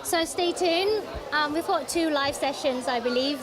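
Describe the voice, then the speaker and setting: Woman w high voice, casual fluent live chat, busy crowd in the background. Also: unhurried. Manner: informal, fluent English. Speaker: news editor and panel moderator. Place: busy public forum venue. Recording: crowded event chatter behind.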